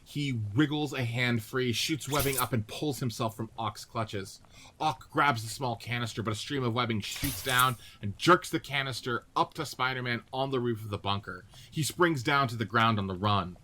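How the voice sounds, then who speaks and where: A man's voice: mid-range voice, normal speed, pushed and bright, a little hiss or hum. A man narrating a radio-play script, reading out stage directions and setting each scene descriptively.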